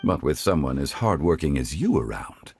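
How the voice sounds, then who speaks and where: A man playing a composed, courteous, scholarly gentleman in a video game, speaking articulately and genially. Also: very deep voice, sing-song, moderate pace, creaky, faint music behind, full character voice. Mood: calm, friendly.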